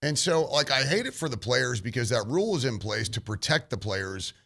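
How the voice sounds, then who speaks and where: A man's voice: mid-range voice, lively intonation, moderate pace, creaky, pushed and bright. A man co-hosting a sports radio show, talking in a heated, rambling, opinionated way.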